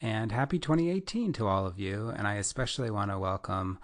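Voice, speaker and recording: Upbeat low-voiced male podcast host. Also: animated, brisk. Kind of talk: casual interview.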